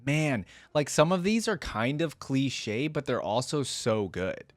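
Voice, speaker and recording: A man's voice: medium pitch, sing-song, takes it slowly, no echo. A man giving informal, conversational commentary as host of a reaction video. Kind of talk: casual commentary reacting to game voice lines.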